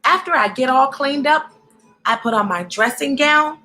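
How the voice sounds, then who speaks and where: An animated, encouraging woman hosting a storybook read-aloud, speaking directly to children in an expressive way. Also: high-pitched, moderate pace, poor audio.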